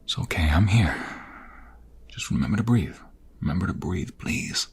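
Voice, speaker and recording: A man playing a protective ex-mobster in an audio roleplay drama, husky and breathy, defiant with others and tender with his fiancée. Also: low voice, animated, normal speed, full character voice. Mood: tender, soothing. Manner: intense, protective.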